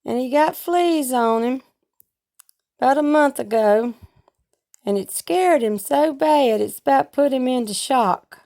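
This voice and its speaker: A hoarse woman, chatting slowly and plainly about her week at home into a phone camera. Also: sits high, dark tone. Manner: slow, homespun, rambling talk with regional grammar. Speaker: vlogger. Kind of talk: casual home vlog chat.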